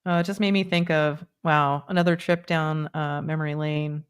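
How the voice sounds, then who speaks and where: A woman around sixty hosting a live stream, chatting casually and then guiding a group energy meditation with quick yes-or-no pendulum checks. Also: deep voice, fairly even intonation, smooth. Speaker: energy work host.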